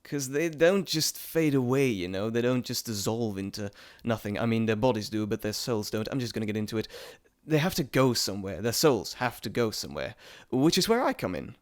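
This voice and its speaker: A playful trickster god, acted by a man, speaks directly to the listener in a theatrical roleplay monologue. His mid-pitched voice swings widely as he chats and teases, full of mischief. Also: animated, brisk, few pauses, lightly acted. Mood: grave, explanatory. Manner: theatrical, chatty, teasing. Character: playful, teasing male Greek messenger god, cheeky trickster.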